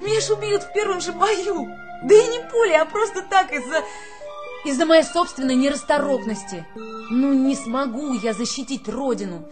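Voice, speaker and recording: A woman's voice: sits high, moderate pace, over music, natural voice. A woman playing a dreamy, plaintive young soldier with poetic, theatrical delivery in a radio drama. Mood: pleading. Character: young male conscript, a dreamy, pleading, whiny would-be playwright.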